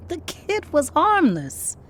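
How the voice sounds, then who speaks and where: Bold, protective woman with lively low-ish pitch. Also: moderate pace, husky. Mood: wry. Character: bold, protective woman activist, Ella, kindly to strangers. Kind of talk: naturalistic audio drama acting.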